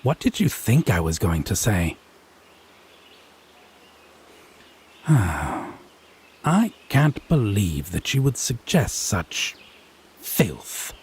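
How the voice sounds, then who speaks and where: Man playing a haughty, low-voiced, swooping male Fae. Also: big pitch swings, slow pace, a little mellow, breathy edge, heavily acted. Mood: scandalized, teasing. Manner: arch, pompous, drawling with theatrical emphasis. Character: haughty, teasing male Fae, theatrically prim and domineering. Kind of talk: scripted audio roleplay drama.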